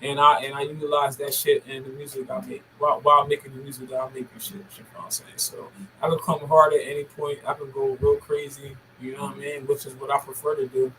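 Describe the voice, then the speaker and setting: Deep voiced american woman from camden, podcast guest, swears a lot, real assertive, bit of noise on it. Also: steady tone, fast, few pauses, a bit bright, rough recording. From Camden, United States. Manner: assertive, bossy, heavy swearing. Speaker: podcast guest, music artist. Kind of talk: casual interview chat.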